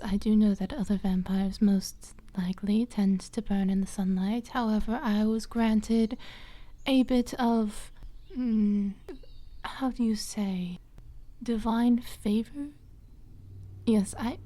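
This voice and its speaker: A woman voicing a dramatic, affectionate female vampire companion in a soft, breathy half-whisper for a fantasy ASMR roleplay. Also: medium pitch, lightly acted. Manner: theatrical, hesitant, endearments.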